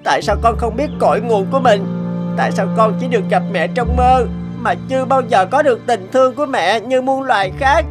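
A woman's voice: fairly high pitch, fairly quick, music in the background, close to an everyday voice. Plaintive young orphan demon played by a woman. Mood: heartbroken. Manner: pleading, plaintive. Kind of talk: animated fairy-tale dubbing.

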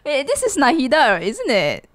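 A young woman giving excited, exclaiming reaction commentary as host, close to a desk microphone in a small room. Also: sits high, normal speed. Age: young adult. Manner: animated, giggly, exclaiming. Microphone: desk microphone close to her.

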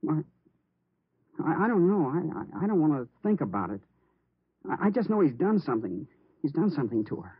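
An actor playing a nervous, polite teenage stepson in an American 1950s radio drama, earnest and hesitant. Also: male voice, a little high, very animated, fairly quick, long pauses, husky, dry recording, heavily acted. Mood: distressed, faltering. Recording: muffled, narrow-band vintage radio recording.

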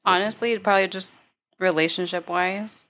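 A woman in her thirties, a podcast guest, talking in a relaxed, thoughtful, slightly hesitant way. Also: medium pitch, moderate pace. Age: 30s. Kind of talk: casual chat.

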